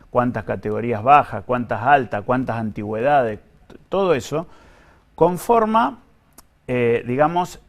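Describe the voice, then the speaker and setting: A man with an Argentine accent speaking as an official in an interview, explaining things in a careful, measured, technical way. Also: mid-range voice. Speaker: government pension official being interviewed.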